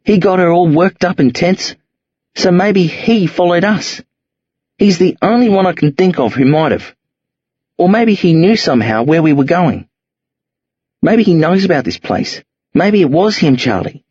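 A man doing a husky, streetwise teenage boy. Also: high voice, expressive, unhurried, darkish tone, no echo, voice pushed up, exaggerated voice. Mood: brooding. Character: streetwise teenage boy, tough, pleading, wary. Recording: dull lo-fi audio.

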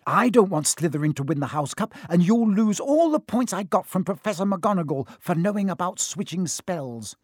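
A man voicing a bossy, indignant young schoolgirl in a shrill, scolding, insistent manner for a storybook. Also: expressive, moderate pace, hardly any pauses, no room sound, studio quality. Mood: scolding, hissing.

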